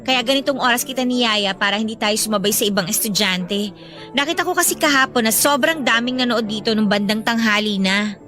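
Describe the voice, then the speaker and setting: High-voiced young Filipino older sister. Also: female voice, fairly quick, sharp tone, soft background music. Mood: teasing. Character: resentful, dutiful older sister, a young student. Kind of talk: Filipino radio drama acting.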